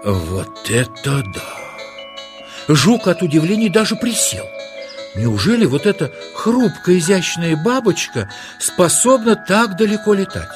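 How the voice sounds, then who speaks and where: A man tells a children's story in a theatrical, playful way, full of wonder. His voice sits high and swings very widely in pitch. Music runs underneath the narration. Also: big pitch swings, slow.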